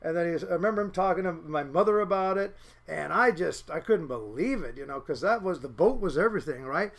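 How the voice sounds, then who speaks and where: Rambling high-voiced middle-aged man. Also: lively intonation, a little fast, gravelly. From Seattle area, USA. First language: Norwegian. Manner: rambling, many restarts and fillers. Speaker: fisherman's son recalling childhood. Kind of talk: casual reminiscing.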